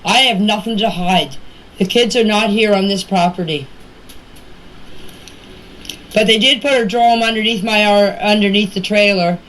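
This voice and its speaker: A woman's voice: medium pitch, moderate pace, pauses often, fairly bright tone. A woman giving a casual, rambling personal account in plain, folksy words, recorded as voice messages. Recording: noisy voice-message clips with background ambience.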